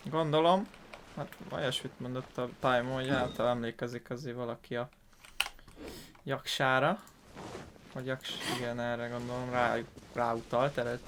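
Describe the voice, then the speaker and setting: A man's voice: mid-range voice, a bit bright, a little hiss or hum. A man doing casual game commentary as a video host, informally musing aloud. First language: Hungarian. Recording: commentary over game music.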